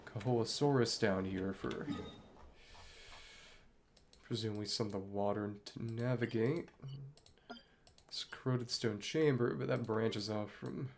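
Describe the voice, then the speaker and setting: A man's voice: medium pitch, darkish tone, slight background noise. A man giving casual video game commentary, thinking aloud and murmuring to himself as he plays. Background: video game music.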